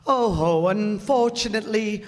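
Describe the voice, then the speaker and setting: Man acting high-voiced, slow, conceited dandy in echoey hall. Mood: self-important. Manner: pompous, preening, drawn-out delivery. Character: conceited, vain man craving admiration. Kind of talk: radio play acting. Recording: echoey hall, long reverb.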